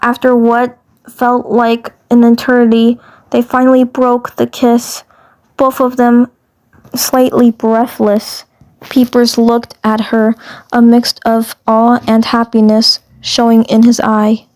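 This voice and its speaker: A woman's voice: a little high, completely flat delivery, slow pace, darkish tone. A woman reading amateur story narration for a fandub, stumbling and repeating words as she goes.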